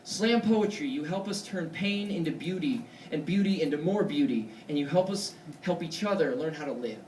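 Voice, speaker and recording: A man with a high-pitched voice delivers slam poetry in a rhythmic, emphatic cadence. His delivery is theatrical, built on deliberate pauses and repetition. He is heard from a distance in an echoey live venue. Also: animated, darkish tone, slight background noise. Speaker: slam poet. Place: indoor poetry slam stage before an audience.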